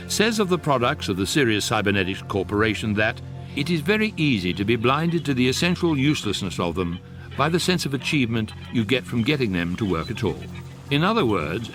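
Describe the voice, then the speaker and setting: An actor playing a wry, learned guidebook narrator in a radio drama, measured and deadpan. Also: male voice, fairly low pitch.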